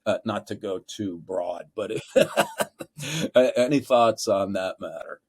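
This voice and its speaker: Slow, low-voiced male moderator. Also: darkish tone. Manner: halting, academic wording. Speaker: panel moderator. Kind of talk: thoughtful moderated discussion.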